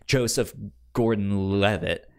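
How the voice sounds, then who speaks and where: A man's voice: fairly low pitch, takes it slowly, smooth. A man co-hosting a podcast in casual film discussion, stammering and repeating syllables and words as he talks. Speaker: podcast co-host.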